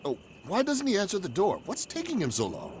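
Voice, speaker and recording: A man's voice: high-pitched, wildly swooping pitch, a little fast, over loud music. An actor playing a hard-nosed, skeptical male estate agent in dramatic, emphatic old-time radio horror style. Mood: alarmed.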